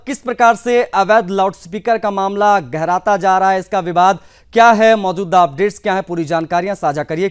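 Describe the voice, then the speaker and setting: A man's voice: sits high, clean tone. A man anchoring a news broadcast, presenting reports and putting questions to a correspondent.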